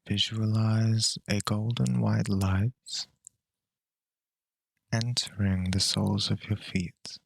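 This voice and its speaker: Calm guy doing guided meditation, lowish creaky voice, long pauses between phrases. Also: dry recording.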